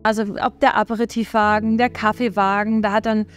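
A middle-aged woman, a restaurant co-owner, telling stories in a lively way during a documentary interview in a restaurant dining room. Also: mid-range voice, fairly quick, soft background music. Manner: lively storytelling, laughs easily.

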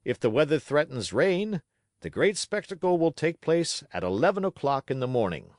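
A man's voice: on the high side, very animated, moderate pace, a little mellow, no room sound, clean studio sound, voice pushed up. A man voicing a pompous, boastful male circus manager who addresses a crowd in grand showman's style. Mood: grandiose.

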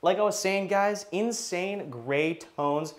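A man's voice: sits high, slow pace. A man hosting a casual walk-through property tour as a real estate agent, speaking in an enthusiastic, informal, salesy way.

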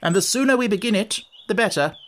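A man voicing a bossy, sharp-tempered chess queen in an audiobook, scolding and imperious. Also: extremely high pitch, big pitch swings, a little slow, pitched above the performer's own voice, heavily acted. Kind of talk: audiobook character voice.

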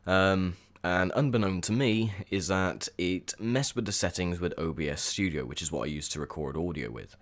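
A young man with a croaky, sore voice, chatting casually through gameplay commentary with lots of ums. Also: deep voice, normal speed, few pauses. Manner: chatty, rambling with many ums. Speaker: gaming video host.

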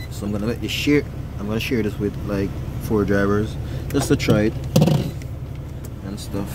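A man, chatting casually as a trucker vlogger while cooking and touring his truck cab. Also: medium pitch. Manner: upbeat, chatty, laughs often, sing-song greetings. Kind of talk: casual vlog tour and cooking show. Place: inside a truck sleeper cab. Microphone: handheld phone camera. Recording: noisy truck cab with engine and AC hum.

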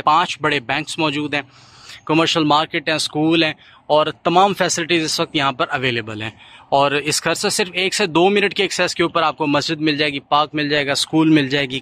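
A man with a Pakistani Urdu accent gives a real estate house tour as a YouTube host, in steady salesman patter with stock phrases. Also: on the high side, a little hiss or hum. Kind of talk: walkthrough narration. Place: inside an empty new house.

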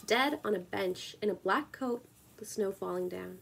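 A woman in her twenties reading poetry and chatting casually on her own video at home, in a measured, deliberate way. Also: mid-range voice, creaky, fairly bright tone, slightly rough, low-quality recording. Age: 20s. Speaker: poetry reader and video creator. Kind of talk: spoken word poetry reading with casual introduction. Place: small room at home, indoors.

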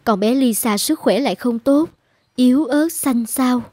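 A woman's voice: high voice, unhurried, a little mellow, no room sound, clean studio sound. A woman voicing a kindly, compassionate orphanage carer in a cartoon, with a sympathetic, worried manner. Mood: pitying. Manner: sympathetic, caring. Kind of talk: animated children's story dubbing.

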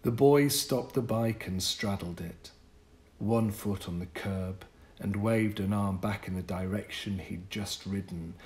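A man reading a literary short story as an audiobook, with measured, deliberate phrasing and pauses. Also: lowish voice, a little mellow. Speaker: audiobook narrator. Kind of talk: scripted literary story narration.

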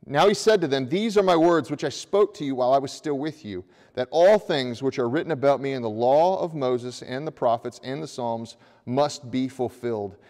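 A middle-aged man preaching a sermon from a church pulpit, reading scripture and rising in pitch with energy as he presses his points. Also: moderate pace, few pauses. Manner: animated preaching with raised hands, voice climbing when stressing a point. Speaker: pastor. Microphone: far camera. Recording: long church reverb.

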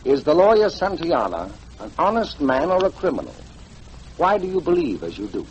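An actor playing an inquisitive police inspector putting probing questions in a 1940s radio mystery. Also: male voice, on the high side, big pitch swings, normal speed, slight background noise. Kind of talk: 1940s radio drama acting. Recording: dull, lo-fi 1940s radio broadcast.

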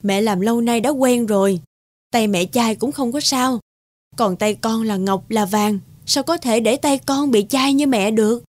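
A woman's voice: on the high side, unhurried, darkish tone, natural voice. A woman voicing a kind, lonely older peasant mother in a cartoon fairy tale, fussing and soothing as she talks. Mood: doting, fussing. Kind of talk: animated fairy tale voice acting.

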